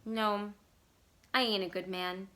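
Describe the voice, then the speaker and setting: A woman playing an escaped male convict in a storybook reading, musing deliberately in folksy rural speech. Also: mid-range voice, little pitch movement, a bit bright. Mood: measured musing.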